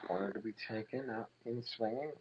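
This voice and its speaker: A young man giving relaxed, rambling live play-by-play for a soccer match, his voice low and monotone, with chatty asides between plays. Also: a little fast, dull audio, heavy background noise. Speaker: soccer commentator. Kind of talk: casual live play-by-play commentary.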